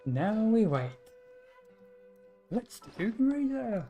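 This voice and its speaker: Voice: mid-range voice, sing-song, slow pace, dark tone, soft background music. A woman giving casual gameplay commentary, idly muttering short remarks to herself. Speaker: game streamer.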